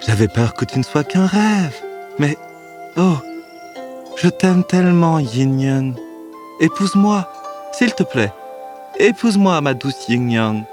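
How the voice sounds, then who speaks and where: A man's voice: a little high, lively intonation, slow pace, long pauses, darkish tone. A man playing an earnest, determined young man in love in a fairy tale, with music behind him. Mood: lovestruck. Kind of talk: dramatic fairy tale acting.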